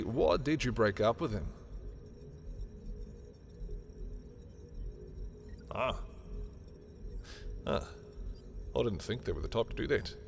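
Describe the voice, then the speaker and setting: A man playing a sardonic, petty villain in a scripted audio roleplay, talking in theatrical, sarcastic taunts. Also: lowish voice. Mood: gossipy, teasing. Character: sardonic, petty male villain, grudgingly familiar with rival.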